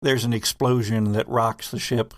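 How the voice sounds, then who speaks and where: A man's voice: medium pitch, steady tone, normal speed, dry recording. A man narrating a horror sci-fi tabletop game as game master, with wry, vivid description.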